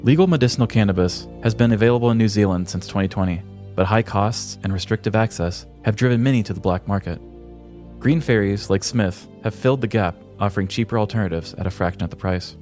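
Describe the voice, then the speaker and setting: A man's voice: fairly low pitch, fast talker, darkish tone, faint music behind. A man hosting a podcast, reading scripted comic true-crime narration with a dramatic storybook delivery. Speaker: podcast host.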